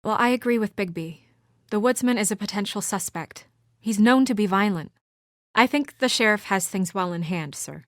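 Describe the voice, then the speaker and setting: A young female office assistant from a noir game, acted by a woman, brisk and guarded. Her voice sits at a medium pitch with crisp, no-nonsense, understated delivery. The recording is close and dry, free of room sound. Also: no room sound, close to an everyday voice. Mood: composed, firm. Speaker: video game voice actor. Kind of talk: naturalistic video game drama acting.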